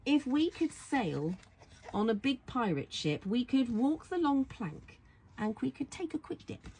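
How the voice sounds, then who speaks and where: A woman reads a children's bedtime story aloud in a soft, husky voice, affectionate and playful, full of wonder. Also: high voice, big pitch swings, unhurried, poor audio. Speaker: storybook reader.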